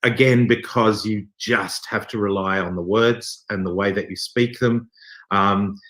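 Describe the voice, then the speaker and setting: A man with a fairly low voice and a bright, forceful tone gives a casual interview. He speaks thoughtfully and haltingly, with a dry, self-deprecating wit. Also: steady tone. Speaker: theatre company director being interviewed.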